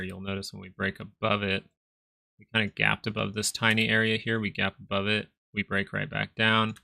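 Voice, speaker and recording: Gravelly, bright-toned man calling the market. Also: fairly low pitch, dry recording. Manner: casual, chart-pointing, conversational asides to viewers. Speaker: stock market livestream host. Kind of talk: casual live market commentary.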